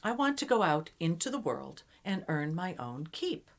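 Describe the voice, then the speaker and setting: Woman as a plainspoken folktale girl, animated pitch. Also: normal speed. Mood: earnest. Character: young hardworking kitchen girl, plainspoken, bewildered by tasks. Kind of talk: folktale storytelling.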